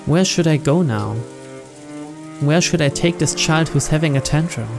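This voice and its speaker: A man's voice: fairly high pitch, moderate pace, creaky, music in the background. A man reading visual novel narration aloud in a storytelling style. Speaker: narrator, let's player.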